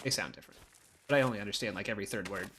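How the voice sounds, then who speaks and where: A man's voice: lowish voice, rapid-fire, fairly bright tone, slight background noise. A young man, chatting casually while playing a video game, hesitant and full of restarts. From Ohio, USA. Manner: rambling, hesitant, many fillers and restarts. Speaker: co-host. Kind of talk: casual gameplay chat.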